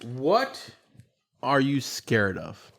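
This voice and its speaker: A man's voice: medium pitch, huge pitch swings, extremely slow, creaky, fairly bright tone. A man chatting casually with young kids, asking questions and repeating their answers back. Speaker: host interviewing his children.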